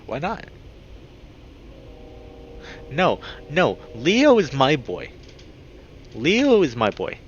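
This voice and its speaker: Lo-fi recording of a higher-voiced man. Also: huge pitch swings, slow. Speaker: video game streamer. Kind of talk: reading visual novel aloud with excited reactions. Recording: dull lo-fi mic with clear background noise.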